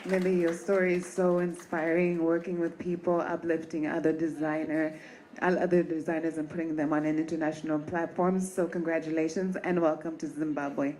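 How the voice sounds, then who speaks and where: A woman host thanks each guest warmly and calls up the next speaker. Her voice sits a bit low. Faint noise runs under the live-stream audio. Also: flat delivery, normal speed, rough recording. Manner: warm congratulatory announcing. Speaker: event host. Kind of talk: introducing speakers.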